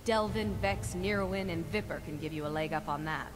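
Female guild lookout woman acting, fantasy game, streetwise, lower register, noisy ambient backdrop. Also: a little fast, long reverb tail, natural voice. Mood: matter-of-fact.

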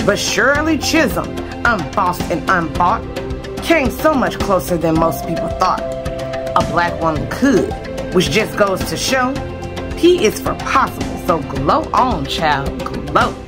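A woman's voice: fairly low pitch, expressive, slow pace. A woman reading a rhyming children's picture book aloud expressively, phrase by phrase, with emphasis. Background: music.

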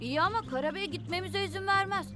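A woman's voice: very high-pitched, fast, music in the background. A woman voicing a hesitant, earnest young boy with a doubtful, questioning manner in a cartoon. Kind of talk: cartoon voice acting.

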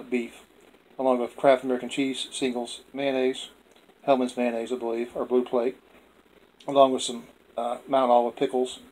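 A man narrates a casual home video as its host. His pitch is mid-range and stays very even, with little rise or fall. He talks in a plainspoken, folksy way. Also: poor audio.

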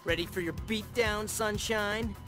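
An actor playing a brash celebrity fighter in a video game, smug and taunting. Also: male voice, sits high, fairly even intonation, a little slow, over music. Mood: cocky taunting.

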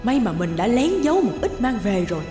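An actress voicing a timid, curious and secretly greedy middle-aged nursemaid in a cartoon fairy tale, hesitant and muttering to herself. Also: female voice, on the high side, big pitch swings, a little fast. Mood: scheming. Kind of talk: animated fairy-tale dubbing. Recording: background music under the voice.

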